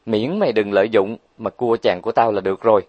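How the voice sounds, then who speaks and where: A man voicing a brash, boastful young woman who is rich and bossy, talking loudly and bragging. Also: lowish voice, quick pace, no echo, muffled recording, close to an everyday voice.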